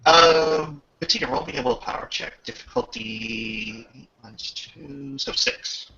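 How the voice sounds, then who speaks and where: A man narrating a tabletop roleplaying game as game master, casual and descriptive, with a gravelly, husky voice. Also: fairly high pitch, takes it slowly, pushed and bright. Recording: muffled lo-fi voice recording.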